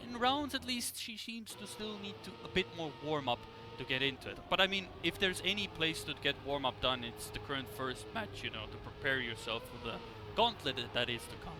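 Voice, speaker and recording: A man giving live, conversational esports commentary in a halting, rambling way as he follows the play. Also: on the high side, expressive. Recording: clear background noise.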